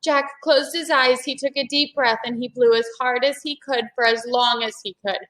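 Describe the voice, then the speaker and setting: A woman's voice: high-pitched, steady tone, moderate pace, a bit bright. A woman reading a children's story aloud expressively, as if to a young class.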